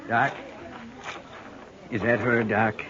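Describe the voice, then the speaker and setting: A man's voice: mid-range voice, little pitch movement, takes it slowly, background noise, close to an everyday voice. Stunned, bitter frontier rancher played by a man. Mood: numb grief. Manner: plainspoken, terse, rustic. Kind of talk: 1950s radio western acting. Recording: muffled 1950s radio recording.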